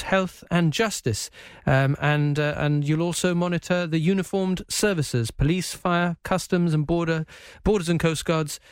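A man's voice: on the high side, no room sound, clean studio sound. A man hosting a live current affairs interview, feeling his way through questions with frequent rephrasing. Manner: hesitant, rephrasing questions as he goes. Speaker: radio show host and interviewer.

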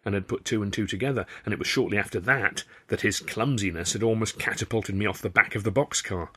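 A man narrates a novel in a fairly low voice. He tells it in the first person, with wry, expressive turns of phrase. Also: fast, husky. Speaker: author reading his novel aloud.

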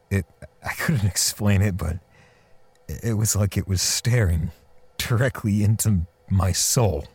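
A man playing a bitter, defensive portrait artist in an audio drama, speaking haltingly with sighs and pauses. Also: low-pitched, slightly rough, full character voice. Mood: somber. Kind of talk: audio drama dialogue and first-person narration.

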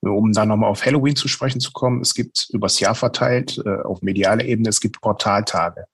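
Husky, low-voiced man explaining informally. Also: steady tone, brisk, dry recording. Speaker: paranormal investigator, interview guest. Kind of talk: informal explanatory interview talk.

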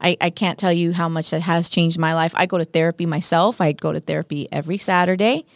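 A middle-aged woman with a Mexican-American accent speaks in a somewhat low, dry voice. Spanish is her first language, and she talks earnestly, often repeating her phrases. The recording is close and free of room sound. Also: steady tone, fast, no echo. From United States. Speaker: podcast guest, radio host. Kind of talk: casual conversation.

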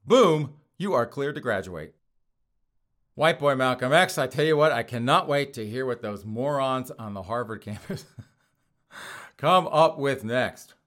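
A hoarse man hosting a show, reading news items and mocking them with frequent laughs. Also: mid-range voice, animated, measured pace, a bit bright. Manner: sarcastic, laughs often between phrases. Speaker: podcast host. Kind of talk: casual mocking commentary.

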